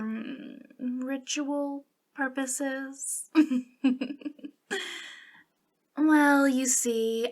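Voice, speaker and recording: A woman's voice: on the high side, a bit bright, full character voice. A woman playing a centuries-old, youthful-looking witch in an ASMR roleplay, confiding coyly and hesitantly with a giggly, sinister playfulness. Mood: gleeful, sly. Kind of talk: ASMR roleplay monologue addressed to the listener.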